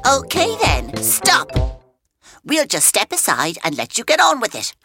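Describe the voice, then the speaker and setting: A woman's voice: high voice, wildly swooping pitch, a little slow, full character voice. An actress playing a playful female muse who coaxes and teases, in a radio drama. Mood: teasing, insistent. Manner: teasing, coaxing, theatrical. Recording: music behind the voice.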